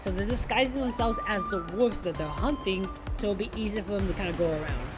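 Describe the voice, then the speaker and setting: Young woman, game streamer. Lively swings in pitch, casual excitable slang and swearing, loud music behind. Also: quick pace. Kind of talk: casual live gameplay commentary.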